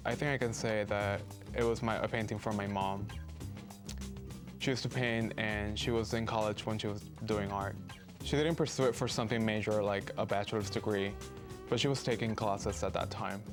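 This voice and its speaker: A young man from Puerto Rico with a fairly low voice introduces himself calmly. Clear guitar music plays under his voice. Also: moderate pace. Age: young adult. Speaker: photography student. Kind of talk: documentary interview.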